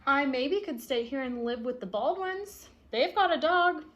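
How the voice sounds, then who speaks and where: A woman's voice: sits high, animated, measured pace, a bit bright. A woman reading the part of a stubborn, indignant young boy from a picture book, with emphatic delivery. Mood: hopeful scheming. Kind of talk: picture-book read-aloud.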